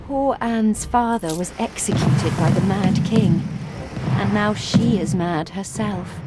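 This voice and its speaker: An actress playing an earnest, devoted young sister and adviser in a video game. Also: female voice, medium pitch, slow, very noisy, close to an everyday voice. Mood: wry.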